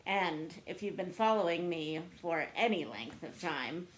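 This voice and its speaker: A woman talks casually to camera as a book vlogger. Her voice sits a little low, and she takes her time with a slow delivery. She comes across as chatty and self-deprecating. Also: animated, slight background noise. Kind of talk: casual chat to camera answering book tag questions.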